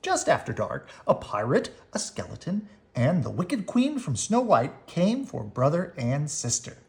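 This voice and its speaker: A woman reading a children's bedtime story aloud in expressive narration. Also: sits low, a little slow, vocal fry. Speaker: storybook reader.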